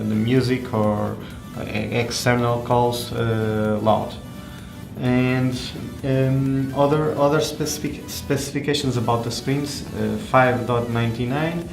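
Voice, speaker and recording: A man giving an unscripted hands-on product review in a halting, hesitant way, often pausing and repeating words. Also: medium pitch, fairly even intonation, creaky, reverberant, over music. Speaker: tech reviewer presenting a phone.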